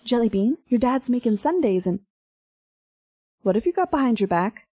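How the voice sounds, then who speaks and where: Woman playing a high, lively doting mother. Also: moderate pace, noisy background. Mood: suspicious. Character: doting but no-nonsense suburban mother. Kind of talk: audio drama acting.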